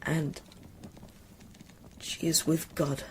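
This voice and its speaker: A woman playing an earnest, pleading young boy in a period radio drama, with a breathy, urgent delivery. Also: low voice, level tone, extremely slow, darkish tone, a little hiss or hum, exaggerated voice. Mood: gentle, careful.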